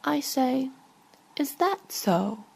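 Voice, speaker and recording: A woman narrates literary fiction in an understated, measured manner. Her voice is husky and full of fry, and she reads very slowly at a middling pitch. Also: big pitch swings, darkish tone. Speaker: author reading own short story.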